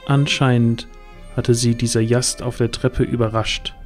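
A man's voice: medium pitch, darkish tone. A man reading scripted narration as the storyteller of a fantasy audio drama.

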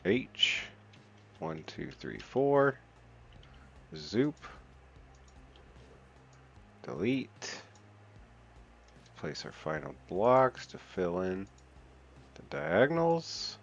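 A man with a deep, low voice gives relaxed let's-play commentary, counting and muttering to himself as he works. The recording is a little dull and muffled. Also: extremely slow, vocal fry, pauses often. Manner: counts and mutters while building, self-talk. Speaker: gaming channel host.

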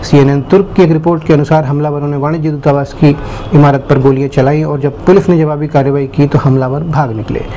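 A man presenting a scripted news bulletin in a formal, measured announcing manner. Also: a little high, flat delivery, warm tone, a little hiss or hum, rough recording.

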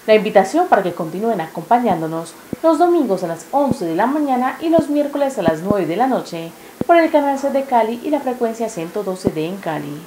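A woman's voice: on the high side, very animated, a little fast, hardly any pauses. A young Colombian woman presenting a scripted local television announcement. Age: young adult. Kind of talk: scripted TV sign-off. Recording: echoey room with clear noise.

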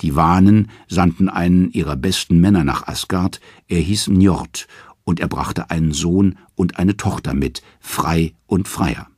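A man narrating mythological tales from a script in a measured, dramatic storytelling manner. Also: low voice, darkish tone, breathy edge, dry recording, clean studio sound.

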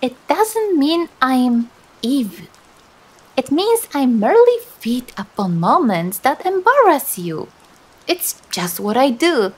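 Slow, high-voiced demoness acted by a woman, intimate and teasing. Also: very animated, slightly rough, full character voice. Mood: sly, smug. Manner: slow, hesitant, softly coaxing, dramatic. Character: teasing but sympathetic female cringe demon. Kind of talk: intimate ASMR roleplay.

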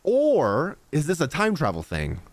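A man's voice: a little high, huge pitch swings, measured pace, no room sound. A young man giving casual live reaction commentary as a game streamer, close to the microphone. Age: young adult. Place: small indoor streaming room. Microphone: close studio microphone on a boom, headset on.

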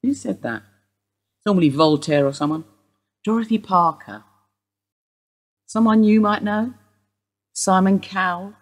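An actress playing a sharp-tongued, bitter woman in her sixties, wry and sardonic in a radio play. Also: medium pitch, measured pace, long pauses, lightly acted.

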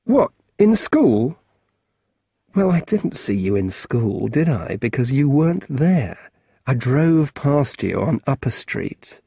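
A man's voice: mid-range voice, big pitch swings, unhurried, creaky, warm tone, slightly rough, no echo, clean studio sound, heavily acted. A male narrator voicing a weary, blunt adult man who is impatient with a boy, speaking with exasperation. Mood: exasperated.

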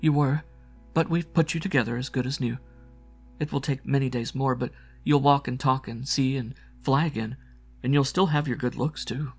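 A brisk, kindly hospital nurse performed by a man in a science fiction story, the voice lifted well above his own. The delivery is calm and formal, with a slightly gravelly edge. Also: medium pitch, faint music behind, voice pushed up. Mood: reassuring, matter-of-fact.

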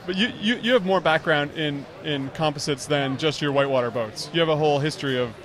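A man in his thirties hosts an interview at an indoor trade show booth. He talks quickly, in a slightly higher voice, holding a handheld mic. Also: fairly bright tone. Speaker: host.

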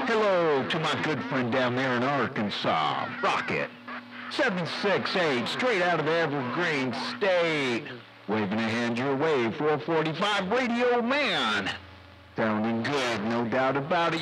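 Male CB operator, slow and lively-pitched, showy rhyming callouts, noisy radio signal. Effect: heard over CB radio. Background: radio static and hiss.

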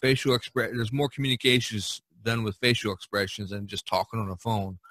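Bright-voiced man chatting on a podcast. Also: on the low side, little pitch movement, brisk, no echo, poor audio. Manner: rambling, folksy, frequent "you know". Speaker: podcast host and painter. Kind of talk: casual podcast chat giving advice to artists. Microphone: video call recording.

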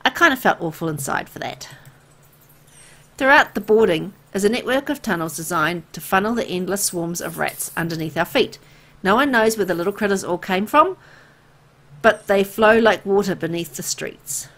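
A woman playing a weary, soft-hearted young woman who narrates her bleak town in a visual novel, reflective and conversational. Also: medium pitch, normal speed. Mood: pitying, somber. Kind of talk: scripted first-person visual novel narration. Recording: music under the voice. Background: background music.

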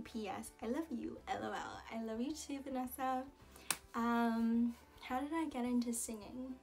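A young woman from Canada, a singer answering viewer questions in a casual, chatty vlog with relaxed fillers and asides. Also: a little high. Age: young adult. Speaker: singer and vlogger. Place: small indoor room against a plain wall. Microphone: camera in front at head-and-shoulders framing.

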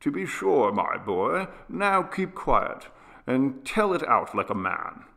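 A man voicing an anxious, gentle father who soothes and coaxes a child in a measured audiobook reading. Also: mid-range voice, big pitch swings, creaky, heavily acted. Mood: coaxing. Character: anxious, gentle father humouring his sick young son. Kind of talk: dramatised audiobook character reading.

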